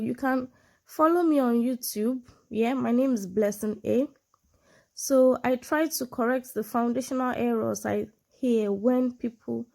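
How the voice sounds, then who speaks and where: A woman's voice: a little high, warm tone. A woman from Nigeria with a Nigerian accent and a sonorous voice, speaking English as a second language, giving an informal lesson with examples and frequent check-ins with the listener. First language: a Nigerian language, not English. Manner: explains with examples, frequent check-in tags like "yeah" and "you know". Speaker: online English exam tutor. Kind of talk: informal instructional talk to camera.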